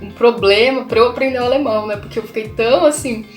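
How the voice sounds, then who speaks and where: A young woman from Brazil hosts a casual vlog, talking in a chatty way and tagging her sentences with questions to the viewer. Her voice sits in the middle range and sounds a bit roomy. Soft music plays faintly behind her. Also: a little high, fast. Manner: chatty, many fillers and tag questions. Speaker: language channel host.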